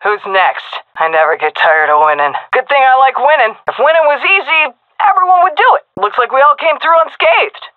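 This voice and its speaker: A woman's voice: high voice, lively intonation, normal speed, no echo. An actress playing a tough, cocky, foul-mouthed female navy commando commander in a video game, brash and sardonic with clipped military delivery through a radio filter. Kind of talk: game combat voice lines. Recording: narrow, phone-like radio comms.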